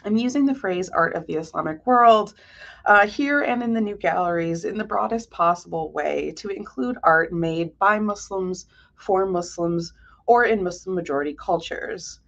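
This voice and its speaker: A woman giving a prepared museum lecture as a curator, measured and articulate in a scholarly way. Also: on the low side, gravelly, fairly bright tone, highs cut off. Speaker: guest lecturer, art historian and curator.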